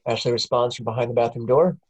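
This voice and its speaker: A woman reads a screenplay's stage directions aloud at a casual table read. Her tone is mellow and dark, and she keeps breaking into laughs as she reads. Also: rumbling low, fairly even intonation, quick pace, slightly rough. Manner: laughs often while reading. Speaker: narrator reading stage directions.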